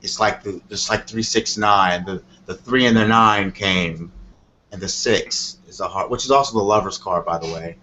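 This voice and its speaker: A man's voice: deep voice, normal speed, bright, forceful tone. A man working as a tarot reader, explaining things casually and off the cuff in a rambling way, often checking that he is understood. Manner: rambling, repeats "you know what I mean". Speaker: tarot reader on a call-in show. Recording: dull lo-fi audio with clear noise.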